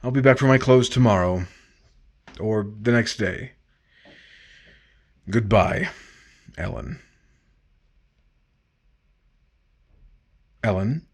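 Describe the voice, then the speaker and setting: A man's voice: fairly low pitch, slow pace, pauses often. A man acting in 1950s-style radio drama as a despondent husband who has left his marriage, speaking hesitantly and trailing off. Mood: bitter, resigned.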